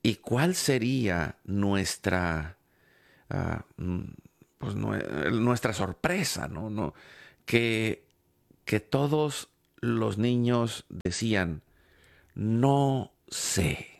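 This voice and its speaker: Gravelly, slow-talking man host. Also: fairly low pitch, expressive. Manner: hesitant, many fillers and restarts. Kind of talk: reflective talk with personal story.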